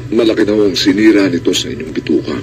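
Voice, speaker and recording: An actor playing a grave, hesitant doctor with a Filipino accent, speaking haltingly in classic radio drama style. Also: male voice, low voice, noisy background, close to an everyday voice. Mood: grave, regretful. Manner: halting, solemn. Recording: radio drama with music behind.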